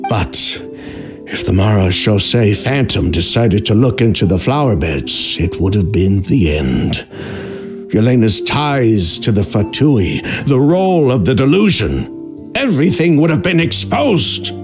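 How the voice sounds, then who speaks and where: A man's voice: lowish voice, big pitch swings, measured pace. An actor playing a defensive, scheming middle-aged businessman in a game, dismissive in tone. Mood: bitter. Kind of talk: game voice acting. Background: game music.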